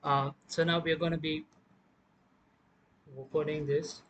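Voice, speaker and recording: A man narrating a casual step-by-step music production tutorial, speaking haltingly as he works. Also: fairly high pitch, level tone, a bit bright, lo-fi sound, a little hiss or hum.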